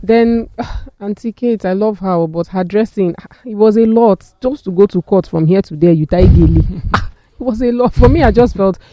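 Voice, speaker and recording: Guy on the panel, mellow voice, dry sound, a bit hesitant. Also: high-pitched, normal speed, dry recording, rough recording. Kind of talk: casual talk show chat.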